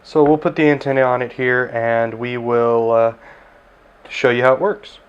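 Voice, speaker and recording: A man's voice: mid-range voice, level tone, normal speed, muffled recording, background noise. A man giving a casual, easygoing review of a handheld radio at his desk, with plenty of filler words. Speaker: tech reviewer, ham radio operator. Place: indoors at a desk.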